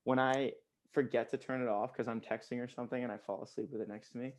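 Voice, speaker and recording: This is a man in his late twenties talking on a podcast mic. He speaks at a middle pitch, loose and chatty. He laughs often and fills his sentences with "like". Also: fairly even intonation, fast. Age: 20s. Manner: laughs often, many "like" fillers. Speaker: podcast guest, pro paintball player. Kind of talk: casual podcast chat.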